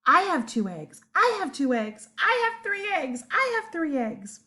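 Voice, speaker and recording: A woman voicing excitable little monkey children for a picture-book read-aloud, in an eager, exclaiming manner. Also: very high-pitched, measured pace, voice pushed up, exaggerated voice. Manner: eager, hushed shushing and exclaiming.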